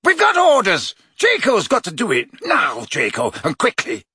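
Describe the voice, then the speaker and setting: A man voicing a brutal male henchman who barks orders, much higher and louder than his own voice. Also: wildly swooping pitch, moderate pace, breathy edge, dry recording, clean studio sound, full character voice. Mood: angry. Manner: barked, shouting orders.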